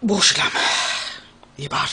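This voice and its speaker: An actor in a Bengali audio play voicing an emotional, tender man recovering from addiction, with a husky voice and a dramatic, pausing, poetic delivery. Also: pushed and bright, exaggerated voice. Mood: soft, intimate.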